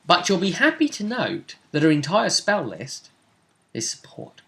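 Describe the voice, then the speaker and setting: A man's voice: a little high, wildly swooping pitch, a little slow, vocal fry, a bit bright. A man chatting casually as game master, recapping a tabletop role-playing session and acting out bits of dialogue.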